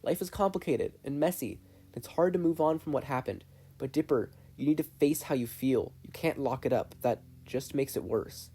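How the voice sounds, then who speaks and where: A narrator voicing an earnest, sympathetic young male giving gentle advice in a fan fiction reading. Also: medium pitch, normal speed, husky, voice pushed up. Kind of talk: fan fiction audiobook character voice.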